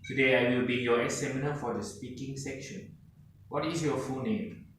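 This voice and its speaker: A man's voice: medium pitch, moderate pace, fairly bright tone. A man acting as an examiner, asking scripted interview questions in a formal way. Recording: echoey room with faint noise.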